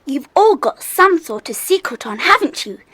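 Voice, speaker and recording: A woman's voice: high-pitched, very animated, normal speed, a bit bright. An actress playing a pesky, nosy little schoolgirl in a children's audio drama, pushy and taunting.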